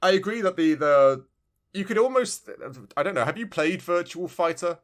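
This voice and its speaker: A man's voice: sits high, lively intonation, normal speed. A man co-hosting a casual video game review podcast, talking in a rambling way and often restarting his phrases. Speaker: podcast co-host.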